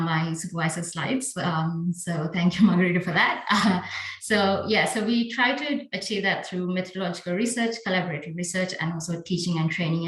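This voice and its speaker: A woman's voice: on the low side, a bit bright, reverberant, rough recording. A young woman from Sri Lanka, a biostatistician, giving a friendly talk with slides and many fillers. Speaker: conference speaker, biostatistician.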